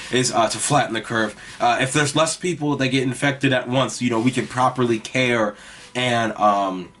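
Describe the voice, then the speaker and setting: A young man hosting his own podcast, talking in a loose, rambling way with lots of "like" and "you know", in a raspy, mostly monotone voice. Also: mid-range voice. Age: young adult. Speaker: podcast host. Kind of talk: casual rambling monologue.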